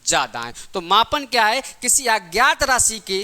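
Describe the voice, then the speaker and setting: Male coaching teacher, forceful piercing tone, crisp delivery, question-and-answer lecturing, repeats key points. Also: sits high, sing-song, normal speed. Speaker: physics teacher for exam coaching. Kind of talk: classroom lecture in simple everyday examples.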